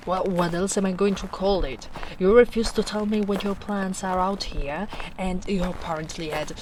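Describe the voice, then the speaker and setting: A woman playing a big, gentle female ogre in a soft-spoken, soothing, teasing ASMR roleplay. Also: fairly low pitch, lightly acted. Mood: dry, teasing. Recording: noisy storm ambience behind voice.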